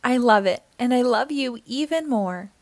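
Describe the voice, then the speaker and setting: Storybook giraffe child, played by a woman: small boy, high-pitched, sweet and emotional, very swoopy delivery. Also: expressive, moderate pace, a little mellow, dry recording, natural voice. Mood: loving.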